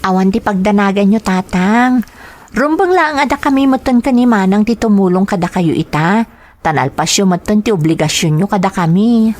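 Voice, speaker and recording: A woman's voice: medium pitch, lively intonation, normal speed, a little mellow, close to an everyday voice. A woman with a Filipino accent playing a caring, worried adult daughter in an audio drama. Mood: reassuring.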